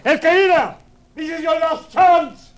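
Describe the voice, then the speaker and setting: An actor playing a fanatical desert warlord in an old radio drama, shouting drawn-out proclamations. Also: male voice, very high-pitched. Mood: fanatical, defiant. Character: fanatical desert warlord, self-styled god of war. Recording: old echoey radio recording.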